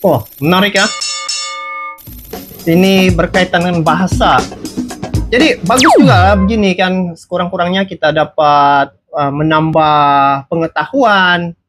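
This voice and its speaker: A woman's voice: fairly low pitch, unhurried. A woman from Sabah with a Sabah Malaysian accent, giving casual, conversational reaction commentary as a channel host, speaking directly to viewers. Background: music.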